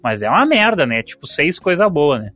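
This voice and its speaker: A man's voice: a little high, big pitch swings, a little fast, fairly bright tone, faint music behind. A man, co-hosting a podcast in loose, joking casual chat and swearing freely. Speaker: podcast co-host.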